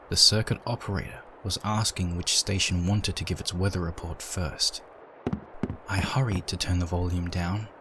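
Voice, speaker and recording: A man reading a dark story aloud in a measured, dramatic narration. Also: sits low, a little hiss or hum, poor audio.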